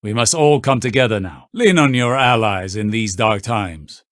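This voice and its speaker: A man's voice: fairly low pitch, fairly bright tone, dry recording, close to an everyday voice. Man acting a composed, formal mentor, dry sound. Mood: warm, solemn. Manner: measured, formal. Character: composed, scholarly, authoritative mentor of guardians. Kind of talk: scripted game character barks.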